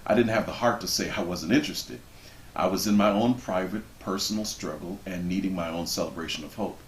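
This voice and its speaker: A man reading a book passage aloud expressively, with measured, deliberate phrasing and dramatic pauses. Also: deep voice. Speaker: reader of a book introduction. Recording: roomy echo with background noise.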